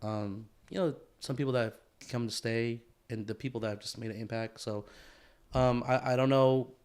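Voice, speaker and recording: Guy on a podcast mic, mid-pitched, talks in loops, keeps restarting his thoughts, casual and reflective. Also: fairly even intonation, moderate pace, a little mellow, clean tone. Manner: reflective, rambling, many restarts and fillers. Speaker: podcast co-host. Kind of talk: casual chat.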